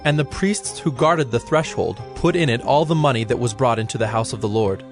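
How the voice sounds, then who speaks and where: A man's voice: medium pitch, fairly quick. Solemn male scripture narrator over faint music.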